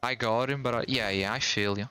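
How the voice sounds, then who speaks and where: Bright, chatty streamer with a Portuguese accent. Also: male voice, mid-range voice, brisk, smooth, soft background music. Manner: chatty, rambling, slangy, frequent restarts. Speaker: game streamer. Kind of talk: casual stream chat with viewers.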